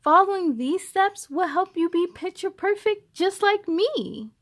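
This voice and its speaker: A woman's voice: extremely high pitch, animated, measured pace, voice pushed up, exaggerated voice. A woman voicing an earnest young boy in a storybook, plainspoken and childlike, unsure but trying hard. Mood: confident.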